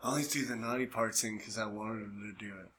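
An American man co-hosting a casual comedy podcast, goofy and excitable, often chiming in. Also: on the low side, fast. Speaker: podcast co-host. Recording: echoey room with background noise.

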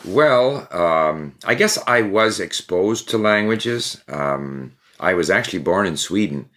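An older man from Canada, a guest in an interview, telling his story in a relaxed, reflective way. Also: low voice, slow. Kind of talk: interview conversation.

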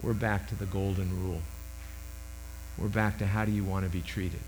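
A man in his sixties with a loud voice, preaching a sermon into a microphone with emphatic swings between loud and hushed. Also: sits low, flat delivery, moderate pace, a little hiss or hum, low-quality recording.

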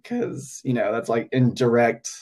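A young man from Hong Kong chats casually as a podcast guest at an even, middling pitch. He thinks aloud as he goes, pausing and filling gaps while he gathers his words. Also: a crowd in the background. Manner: hesitant, thinking aloud with many fillers. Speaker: podcast guest, English teacher.

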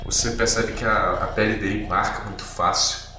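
A Brazilian man working as game master, improvising tabletop RPG narration in a halting, descriptive way with frequent tag questions. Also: lowish voice, steady tone, pushed and bright, a little hiss or hum. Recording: very echoey room, distant, music behind. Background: music.

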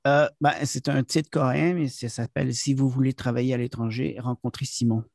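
Gravelly, husky Québécois man talking. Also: medium pitch, fairly even intonation, a little fast, a little mellow, dry recording, studio quality. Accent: Québécois French. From Quebec. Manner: hesitant, many fillers and restarts. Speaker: guest, trainer and author. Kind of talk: casual interview talk.

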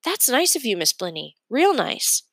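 A woman voicing an earnest, simple-hearted schoolboy in a storybook, plain-spoken and a little halting. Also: on the high side, very animated, husky, no room sound, clean studio sound, voice pushed up, full character voice.

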